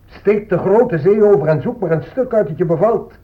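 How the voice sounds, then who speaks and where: An actor playing a stern, coaxing colonial zealot with a declamatory, persuasive manner in a radio drama. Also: male voice, sits high, normal speed, breathy edge. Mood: coaxing, hearty. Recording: tinny, phone-like old radio recording.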